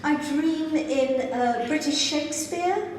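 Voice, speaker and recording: High, playful woman comic in an echoey club. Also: takes it slowly, darkish tone, slight background noise. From Zambia. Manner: playful, giggles at her own jokes. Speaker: stand-up comedian. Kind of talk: stand-up comedy set. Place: comedy club stage. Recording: echoey club sound from far off.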